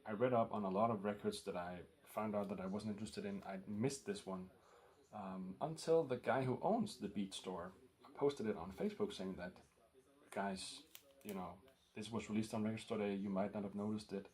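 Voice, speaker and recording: A man with a Danish accent talks casually through his record haul, pausing often with ums and uhs. His voice sits a little low. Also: darkish tone. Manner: rambling, full of ums and uhs. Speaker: record collector vlogger.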